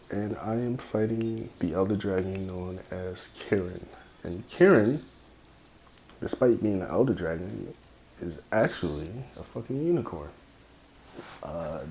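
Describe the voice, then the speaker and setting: A young man with a cold and a raspy voice, rambling through casual gameplay commentary into a phone headphone mic, full of fillers and swearing. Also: sits low, a little mellow, slight background noise, low-quality recording. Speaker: gaming YouTuber.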